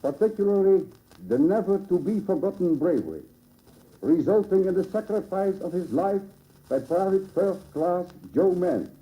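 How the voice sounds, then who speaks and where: A man with a Dutch accent delivers a formal commemorative address. His voice sits high yet sounds very dull and soft-edged. He phrases solemnly and oratorically, heard through an old 1950s radio broadcast recording. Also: animated, moderate pace. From Netherlands. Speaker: local dignitary giving a dedication address. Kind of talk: formal ceremonial speech read from a script. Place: public ceremony at a monument dedication.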